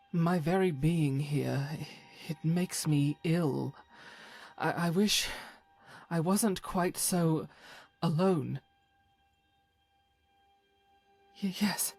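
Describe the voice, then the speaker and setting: A woman voicing a devout, weary female warrior of a holy order in a fantasy game, earnest and solemn, with a husky, breathy voice and halting formal phrasing. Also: low-pitched, a little mellow, full character voice. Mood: uneasy, regretful. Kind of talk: voice acting for fantasy game companion.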